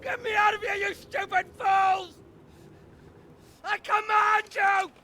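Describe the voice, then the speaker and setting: An actor playing a spoiled, tyrannical young Roman general, imperious and abusive, in a video game cutscene. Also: male voice, steady tone, slow pace, sharp tone, raised voice, slight background noise, exaggerated voice. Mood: furious, commanding. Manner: imperious, shrill, abusive barking.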